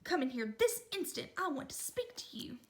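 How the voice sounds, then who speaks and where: A stern mother squirrel from a children's storybook, acted out by a woman. The voice is high and exasperated, scolding and fussing like a parent who has had enough. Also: sing-song, normal speed, background noise.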